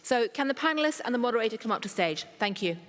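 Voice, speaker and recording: A woman's voice: fairly high pitch, animated, fast talker, fairly bright tone, long reverb tail. A woman hosting a live conference, introducing speakers and stopping for brief asides. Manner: fluent, stops for asides while sorting notes. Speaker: conference host.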